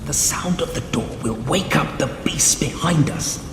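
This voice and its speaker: A man's voice: on the high side, lively intonation, measured pace, over music. An actor playing a bitter, hostile and fearful male prisoner in a fantasy game. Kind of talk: fantasy game dialogue acting. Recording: echoey, clear background noise.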